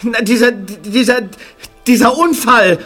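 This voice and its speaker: A man's voice: extremely high pitch, measured pace, a bit bright, faint music behind, full character voice. An actor playing a whiny, easily upset male customer who stammers and repeats himself in a German audio drama. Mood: horrified, exasperated. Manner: stammering, repeating words, exasperated.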